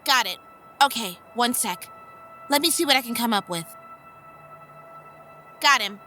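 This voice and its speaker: An actress playing an easygoing, naive young vampire seer in an audio drama, chirpy and guileless. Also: female voice, on the high side, animated, measured pace, sharp tone, faint music behind. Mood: light, eager.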